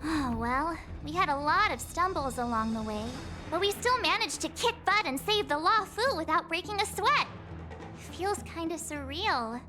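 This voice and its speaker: Spirited game heroine: girlish, very high voice, bubbly and optimistic, music clearly behind. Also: lively intonation, normal speed. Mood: relieved. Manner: bubbly, informal, emphatic. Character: spirited, cheerful young girl adventurer, fiercely optimistic. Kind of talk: English video game voice acting.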